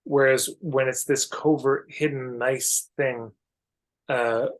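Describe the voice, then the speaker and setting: A man speaking as a podcast guest, explaining ideas reflectively in an emphatic but measured conversational way. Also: mid-range voice, fairly even intonation.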